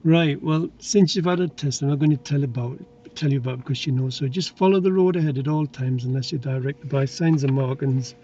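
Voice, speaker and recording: A man, a driving instructor talking in a brisk, chatty, joking way inside a car. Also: mid-range voice, mellow. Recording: dull in-car audio.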